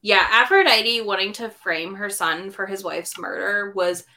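Casting note: woman podcast host, bright, forceful tone, chatty and self-correcting. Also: medium pitch, unhurried. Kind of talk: casual book discussion.

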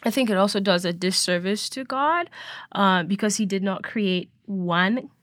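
A woman's voice: medium pitch, moderate pace, fairly bright tone, dry recording, clean studio sound. A woman raised in Nigeria, a podcast guest talking in a reflective, emphatic way with many fillers. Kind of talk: conversational discussion.

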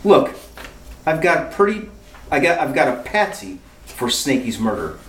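An actor playing a breezy, sly, wry married detective in a retro radio mystery. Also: male voice, medium pitch, very animated, a little slow, fairly bright tone, slight background noise. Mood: sly, tough. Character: wisecracking married male amateur sleuth, breezy and sly. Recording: roomy, distant radio-play recording with music.

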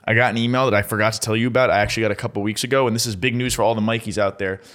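A man's voice: lowish voice, fairly even intonation, rapid-fire, fairly bright tone. A man co-hosting a comedy podcast, talking in casual, slangy, joking banter.

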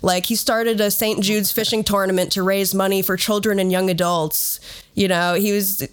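A woman's voice: mid-range voice, level tone, normal speed, smooth. A woman hosting a podcast, telling stories in a casual, conversational way with a sardonic edge and some swearing. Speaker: podcast host.

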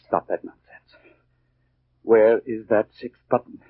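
Husky, desperate killer husband, tinny radio. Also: male voice, on the low side, no echo. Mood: furious, menacing. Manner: overwrought, halting, repetitive. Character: desperate, guilt-crazed husband turned wife killer. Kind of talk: 1940s radio acting. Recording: tinny, narrow 1940s radio recording.

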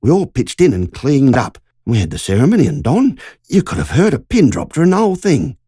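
A woman's voice: very deep voice, very animated, a little fast, dark tone, slightly rough, dry recording, studio quality, voice pushed up, full character voice. A reader doing Margie, an urgent country woman. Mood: indignant. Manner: breathless, urgent storytelling. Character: shaken older country woman telling a story.

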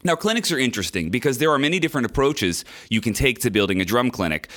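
A man talks on a podcast with a nasal, stuffed-up sound from a sinus infection he is getting over. His speech is candid and chatty, full of fillers and repeated words, in a voice of ordinary pitch. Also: sing-song, fast, a bit bright. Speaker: podcast host and drummer. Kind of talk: casual podcast monologue.

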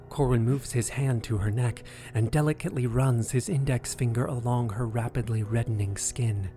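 Mellow, deep audio drama narrator. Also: moderate pace, soft background music.

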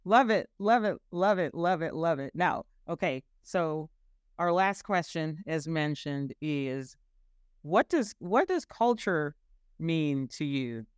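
A man hosts a casual interview in a fairly high, smooth and clear voice. He is upbeat and encouraging, tossing out quick affirmations between questions. The sound is dry and close to the mic. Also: animated, no room sound. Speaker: interview host.

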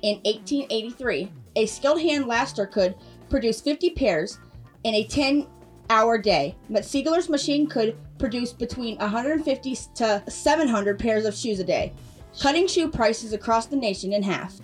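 A woman's voice: a little high, animated, normal speed. A woman reading a scripted factual segment aloud, a little away from the microphone. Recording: distant mic with faint music.

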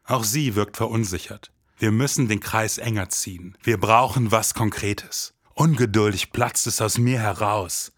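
Brooding guy doing scripted noir detective narration, lowish voice, dry sound. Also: unhurried, a bit bright, no echo. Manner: dramatic, brooding hard-boiled detective delivery. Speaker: podcast host and narrator.

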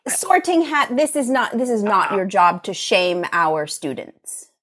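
A woman's voice: medium pitch, sing-song, unhurried, fairly bright tone. Exasperated, bossy witch teacher played by a woman. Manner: brusque, exasperated. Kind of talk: improvised comedy sketch acting.

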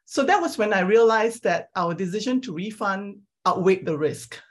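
A middle-aged woman from Singapore, answering as a founder on a panel in a measured, hesitant, conversational way. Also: medium pitch, expressive.

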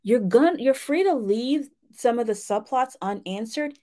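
A woman's voice: a little high, animated, unhurried, dark tone. A woman giving a casual instructional talk as a writing coach, speaking directly and encouragingly to her listeners in a conversational way.

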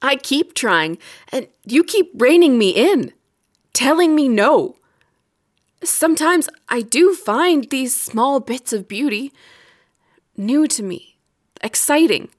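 A woman acting a weary, resentful wife in an audio drama, speaking in a measured, pointed way with quiet bitterness. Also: high voice, very animated, exaggerated voice. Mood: hurt, frustrated.

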